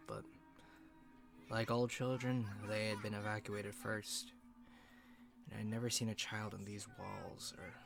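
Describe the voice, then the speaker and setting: A man playing a weary young survivor narrating a reflective audio log, slow and halting, trailing into breathy murmurs. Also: fairly low pitch. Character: weary young male survivor recording a log in an abandoned city. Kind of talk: dramatic first-person audio log narration.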